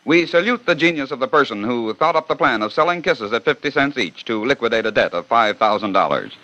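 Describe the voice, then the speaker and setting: A male announcer narrates a scripted 1940 radio program with brisk, wry storytelling. He speaks quickly in a bright, forceful tone. The old recording sounds dull and muffled. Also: mid-range voice, lively intonation.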